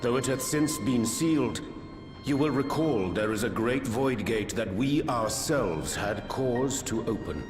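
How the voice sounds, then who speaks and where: An actor playing a grave, courtly scholar-warrior in a fantasy video game, speaking in formal, archaic phrases with a solemn, measured manner. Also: male voice, mid-range voice, lively intonation, reverberant, in a large hall, music in the background, natural voice. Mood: grave concern.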